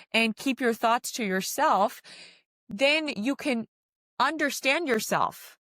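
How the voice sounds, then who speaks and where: A woman's voice: on the high side, a bit bright, no room sound. A woman giving an informal spiritual self-help monologue as a podcast host, speaking earnestly and repeating phrases for emphasis.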